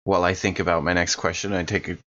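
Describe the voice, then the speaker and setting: A man's voice: deep voice, little pitch movement, fast, a bit bright, dull audio. A man chatting casually as a player in a tabletop roleplaying game.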